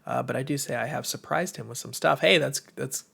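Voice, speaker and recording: Gravelly American man hosting, voice giving out. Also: medium pitch, brisk, fairly bright tone. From United States. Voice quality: tired, strained voice. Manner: enthusiastic, informal, rambling. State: voice going, slightly hoarse. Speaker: livestream host, game publisher. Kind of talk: casual livestream chat to viewers.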